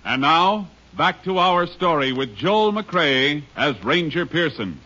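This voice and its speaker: A man's voice: a little high, sing-song, slow. An American man announcing a 1950s radio drama in a scripted style. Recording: muffled old radio recording. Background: loud music.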